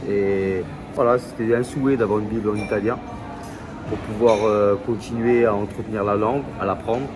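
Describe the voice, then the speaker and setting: A man's voice: lowish voice, steady tone, moderate pace, over music, poor audio. A man from France chatting casually in a travel vlog, hesitant and full of fillers and restarts. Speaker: vlogger. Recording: loud crowd noise behind.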